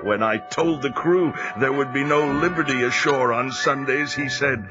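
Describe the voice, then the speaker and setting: An actor narrating in character, telling his tale in a slow, wry way. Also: mid-range voice, dull audio, music in the background, lightly acted. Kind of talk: audio drama narration.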